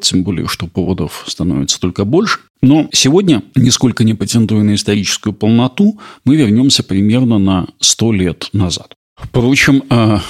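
Creaky-voiced male podcast host. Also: lowish voice. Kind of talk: scripted narration.

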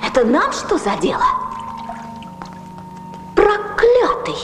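A woman's voice: extremely high pitch, wildly swooping pitch, takes it slowly, full character voice. An actress playing a bold, defiant ancient Greek woman rallying women against war, in declamatory, mocking verse. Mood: earnest, indignant. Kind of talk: theatrical verse drama acting. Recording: echoey hall with music behind.

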